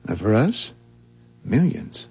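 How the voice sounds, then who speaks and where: Rattled, suspicious husband for vintage radio drama: husky, halting, emphatic, widely swinging pitch, dull tape sound. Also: male voice, big pitch swings, a little mellow, soft background music, exaggerated voice. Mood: stunned, worked up. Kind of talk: 1970s radio drama acting. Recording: dull, muffled 1970s radio recording.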